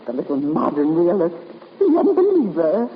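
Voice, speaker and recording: An actress playing a fretful, prickly genteel spinster who is proud and easily flustered, in 1950s radio drama style. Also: female voice, fairly low pitch, wildly swooping pitch, moderate pace. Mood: wistful. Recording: muffled, hissy, reverberant old radio recording.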